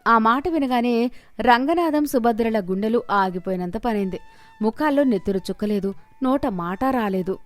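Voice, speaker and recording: A woman's voice: a little high, lively intonation, normal speed, faint music behind. A woman storyteller reading a scripted moral tale as narration.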